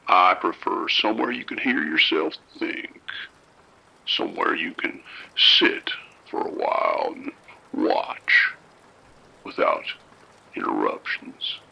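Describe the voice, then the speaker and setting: A man playing a calm, soft-spoken abductor in an audio drama, with a gravelly, whispery voice and a slow, coaxing manner. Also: lowish voice, exaggerated voice, low-quality recording. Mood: coaxing menace.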